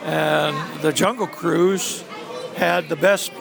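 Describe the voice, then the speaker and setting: Older man, gravelly and rambling. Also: a little high, fairly even intonation, music in the background, a crowd in the background. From New England. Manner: rambling, halting, many fillers. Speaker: interviewee, former theme park ride guide. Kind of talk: casual reminiscing interview.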